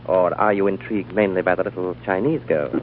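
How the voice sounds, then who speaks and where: A man's voice: low voice, steady tone, fast, close to an everyday voice. An actor playing a sarcastic, prickly young man in his twenties. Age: 20s. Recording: tinny old radio broadcast, faint hiss.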